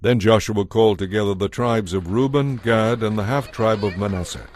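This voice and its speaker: A man giving measured, solemn scripted narration of an audio Bible. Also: lowish voice, a little mellow, soft background music.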